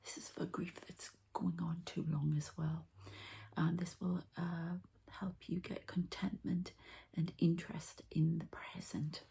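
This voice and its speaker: A woman speaks low and mellow in an ASMR healing session, slipping in and out of a breathy whisper. Her voice is very creaky, and she murmurs to the listener without hurry as she reads the cards. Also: level tone. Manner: hushed, unhurried, murmuring to the listener. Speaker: ASMR energy healer and card reader. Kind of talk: whispered ASMR card reading.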